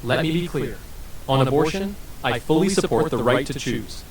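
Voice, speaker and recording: A synthetic male voice answering as an AI interview guest, smooth and evasive with scripted-sounding replies. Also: medium pitch, lively intonation, moderate pace. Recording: roomy and distant with faint hiss.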